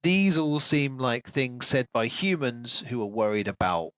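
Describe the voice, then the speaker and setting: A man's voice: medium pitch, expressive, unhurried, fairly bright tone, dry recording. A man co-hosting a podcast, talking casually and analytically about a book as he thinks aloud. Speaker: podcast co-host.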